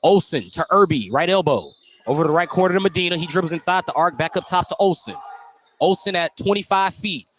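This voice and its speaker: An American man works as a basketball play-by-play announcer on radio. His voice sits a little high for a man. He describes the action in short, clipped running phrases. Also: expressive, fast, a bit bright, a little hiss or hum. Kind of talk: live radio basketball commentary.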